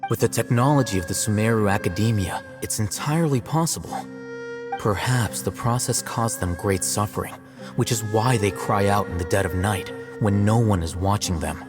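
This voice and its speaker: An actor playing a calm, measured male academy scribe who explains things in a scholarly way in a video game. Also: on the low side, close to an everyday voice. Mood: grave. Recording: long reverb with game music.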